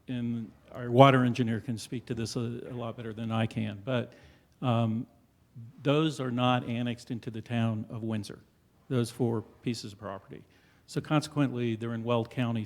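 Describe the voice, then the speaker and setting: Guy with a big voice pitching to the town board, lots of uhs, normal pitch. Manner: loud and hesitant, many fillers. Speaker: developer's representative. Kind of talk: presentation at a town board meeting. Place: town council chamber.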